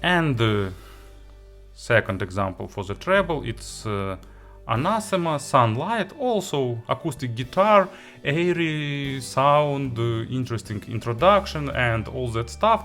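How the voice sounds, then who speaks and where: A man who is a non-native English speaker narrates a product review as an audio gear reviewer, in halting, hesitant phrasing. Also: medium pitch, big pitch swings, a bit bright, soft background music. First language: not English.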